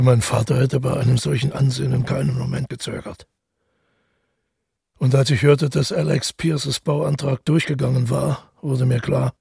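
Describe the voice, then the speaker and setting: An actor playing a haunted, brooding man who narrates a confession in a radio drama, measured and with deliberate pauses. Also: mid-range voice, darkish tone, no echo, heavily acted. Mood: grimly resolved. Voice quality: gravelly, husky. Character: tall, haunted man calmly confessing to a killing. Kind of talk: literary radio drama monologue.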